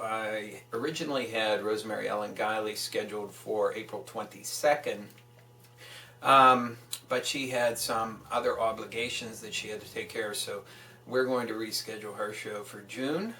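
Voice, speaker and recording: A man's voice: fairly low pitch, bright, forceful tone, slight background noise. A man hosting his own online show, speaking in an emphatic, profane way. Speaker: online radio show host. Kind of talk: political rant and show announcements. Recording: roomy echo, distant from the mic.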